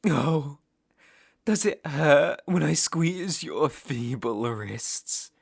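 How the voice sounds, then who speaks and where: A British man playing an obsessive, menacing ex-lover in an ASMR roleplay, speaking with deliberate mock tenderness and possessive mockery. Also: on the high side, lively intonation, vocal fry, slightly rough, exaggerated voice. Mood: mocking, sadistic. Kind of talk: ASMR roleplay audio drama, intimate second-person acting.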